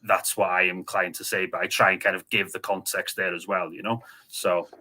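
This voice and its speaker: A man from North Wales with a North Welsh accent, a native Welsh speaker, explaining history at a measured pace as a podcast guest. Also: low voice, level tone, bright, forceful tone. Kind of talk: casual podcast conversation.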